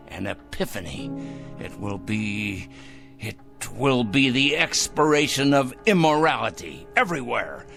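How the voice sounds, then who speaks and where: An actor playing a fanatical, self-righteous male vigilante in a radio drama, swinging from hushed murmurs to shrill outbursts. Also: vocal fry, exaggerated voice. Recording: faint music score behind.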